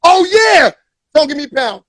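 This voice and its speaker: A man hosting a live stream in a slangy, excitable, hyped-up casual chat style. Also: extremely high pitch, moderate pace, no room sound, studio quality. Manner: excitable, slangy, repeats hype phrases. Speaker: show host.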